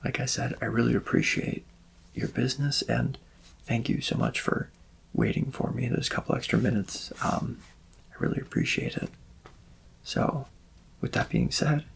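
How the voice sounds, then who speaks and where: A soft-spoken man performs an ASMR personal-attention roleplay as a portrait artist. His voice is very creaky, gentle and unhurried, often near a whisper. There is a faint noise behind him. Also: mid-range voice, steady tone, low-quality recording. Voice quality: soft, breathy-edged voice dropping into whispers and creaky fry.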